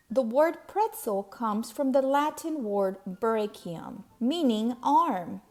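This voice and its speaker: A woman reading a children's storybook aloud with expressive, deliberate emphasis on key words. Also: fairly high pitch. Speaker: storybook reader.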